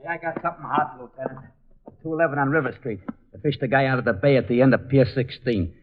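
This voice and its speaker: An actor plays a dopey, easily offended police sergeant from 1940s radio. His diction is rough and grumbling, in a middle pitch, and the recording is muffled. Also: quick pace, close to an everyday voice. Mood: reporting. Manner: uneducated tough-guy diction, grumbling. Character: dim, grumbling, touchy male police sergeant. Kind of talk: 1940s radio drama acting.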